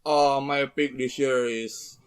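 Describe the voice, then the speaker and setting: A man talking casually as a podcast guest, halting and searching for words. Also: mid-range voice, steady tone, smooth.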